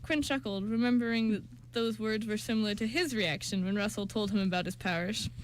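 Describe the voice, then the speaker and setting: A woman's voice: medium pitch, fairly even intonation, a little fast, slight background noise, poor audio. A woman reading scripted story narration for an amateur radio show, with an occasional stumble.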